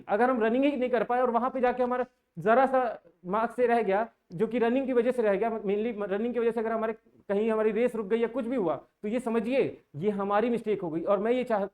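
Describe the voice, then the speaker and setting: A man's voice: very high voice, a little mellow. A young man giving informal commentary to viewers, earnest and argumentative, mixing English words into his Hindi and often asking pointed rhetorical questions. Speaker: exam-aspirant community vlogger.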